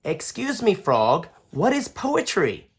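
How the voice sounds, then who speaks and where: A woman's voice: medium pitch, huge pitch swings, slow, breathy edge, pitched above the performer's own voice, full character voice. A woman voicing a polite, curious little boy in a children's storybook.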